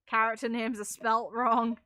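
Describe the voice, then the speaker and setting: A woman's voice: on the high side, sharp tone, no room sound, studio quality. A British woman chatting casually while streaming a game, with a blunt, opinionated manner and some mild swearing.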